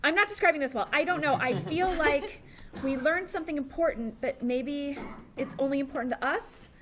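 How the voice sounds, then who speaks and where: A woman acting a shy, private, devoted wife in an earnest, halting way at a comedy table read. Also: sits high, a crowd in the background, natural voice. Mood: shy, self-conscious. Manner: earnest, halting, self-conscious. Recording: live stage, faint background noise.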